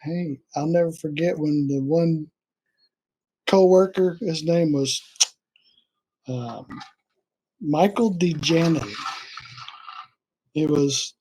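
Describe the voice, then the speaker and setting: A man's voice: fairly high pitch, takes it slowly, long pauses, dark tone, slightly rough, rough recording. A man who is a Navy veteran, telling his service stories in a casual interview and rambling as he loses his thread.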